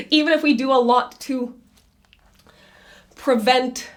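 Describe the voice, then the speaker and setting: A woman reading a monthly horoscope in a chatty, loose way, her voice raspy after an illness. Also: high voice, steady tone, takes it slowly. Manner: chatty, loose, with many fillers and restarts. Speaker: astrologer.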